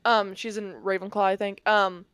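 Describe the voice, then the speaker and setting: A woman's voice: little pitch movement, moderate pace, fairly bright tone, clean tone, dry recording. Rambling woman on a casual podcast. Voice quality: rambling, filler-heavy, laughs often; deeper voice. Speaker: podcast co-host. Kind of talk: casual chat.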